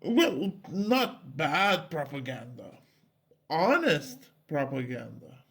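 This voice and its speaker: A man puts on a silly old plotter for an audio drama. The voice is creaky and raised in pitch, with slow, scheming delivery. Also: big pitch swings, fairly bright tone, exaggerated voice. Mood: conspiratorial. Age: older.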